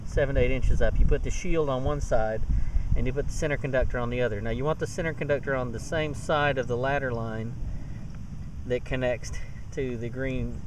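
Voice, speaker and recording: A man giving a casual, plain-spoken hands-on tutorial as a hobbyist demonstrator, recorded outdoors on a handheld camera. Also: mid-range voice. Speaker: DIY radio hobbyist demonstrator. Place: outdoors in a yard. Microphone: handheld camera mic. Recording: outdoor handheld camera, clear background noise.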